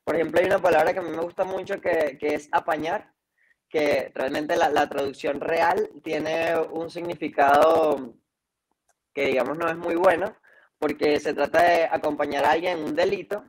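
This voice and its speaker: A man's voice: fairly high pitch, fairly even intonation, moderate pace, low-quality recording. A man in his twenties with a Venezuelan accent and a slight Chilean lilt, chatting casually and reflectively over a video call. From Caracas, Venezuela. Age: 20s. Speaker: interview guest, singer-songwriter. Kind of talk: casual chat. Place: indoor room on a video call.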